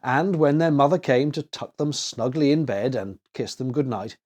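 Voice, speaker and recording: A male storyteller reads a children's tale expressively. His medium-pitched voice moves with lively, varied intonation. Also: moderate pace.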